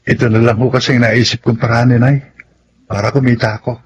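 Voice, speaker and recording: Casting: male actor as humble ex-convict dad in radio drama, Filipino accent, mid-pitched, earnest, slightly dull audio. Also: flat delivery, brisk, husky, lightly acted. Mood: hopeful, earnest. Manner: earnest, respectful to his mother. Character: remorseful, hopeful ex-convict father and street food vendor. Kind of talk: Tagalog radio drama acting.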